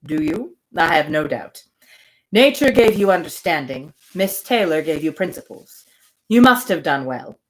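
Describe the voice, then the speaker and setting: A woman reading the lines of a dry, teasing gentleman in his thirties in her own plain voice. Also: low-pitched, sing-song, measured pace, pitched below the performer's own voice, low-quality recording.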